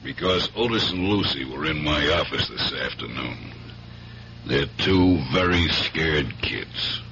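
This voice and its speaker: A man's voice: very deep voice, unhurried, creaky, bright, forceful tone, exaggerated voice. An actor in a 1970s radio drama playing a tough but fatherly police superior, plain-spoken and firm. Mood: firm, concerned. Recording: dull vintage radio recording, faint hiss.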